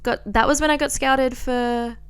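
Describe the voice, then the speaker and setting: Young adult female, Australian accent, crisp clear voice, casual confessional podcast storytelling, sweary and informal. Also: high-pitched, steady tone, moderate pace. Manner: chatty, informal, sweary, self-deprecating. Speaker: podcast host. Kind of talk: casual solo chat, personal storytelling.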